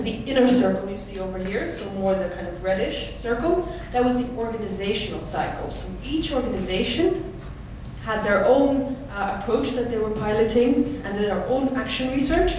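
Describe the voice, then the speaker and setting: Woman from the netherlands explaining at a conference, a bit high, far off mic in a big echoey hall, noisy. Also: darkish tone. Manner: clear explanatory delivery with occasional fillers. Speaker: conference presenter. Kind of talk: conference presentation.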